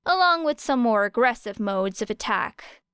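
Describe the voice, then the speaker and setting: A woman's voice: a little high, expressive, normal speed, fairly bright tone, clean tone, dry recording, clean studio sound. A woman narrating a scripted story as an audiobook reader.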